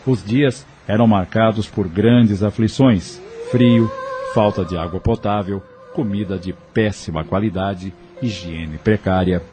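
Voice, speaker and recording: A man's voice: fairly low pitch, unhurried, few pauses. A man narrating an audio novel in a scripted, dramatic storytelling style. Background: faint music.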